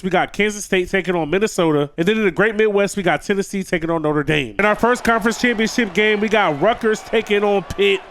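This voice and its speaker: A man's voice: high-pitched, fairly bright tone, no room sound. Nasal, stuffed-up man calling game plays. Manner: addresses viewers as "boys", hypes big plays. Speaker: gaming video creator. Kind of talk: play-by-play commentary over a football video game.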